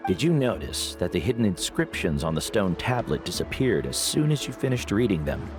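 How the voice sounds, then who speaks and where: An actor playing a veteran guide and teacher who speaks in a measured, reflective way in game dialogue. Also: male voice, sits low, very animated. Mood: steady. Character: older male guide mentor, calm and wise. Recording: game audio with faint music.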